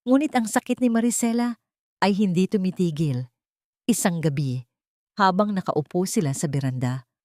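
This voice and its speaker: Low-voiced woman narrating drama, dry studio sound. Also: dark tone, no echo. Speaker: storyteller. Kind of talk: scripted dramatic Tagalog love-story narration.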